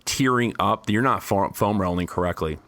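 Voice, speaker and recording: A man's voice: on the low side, normal speed, a bit bright, dry recording. A young man co-hosting a podcast, stuffy and losing his voice, rambling crudely and laughing a lot. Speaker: podcast co-host. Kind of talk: casual chat.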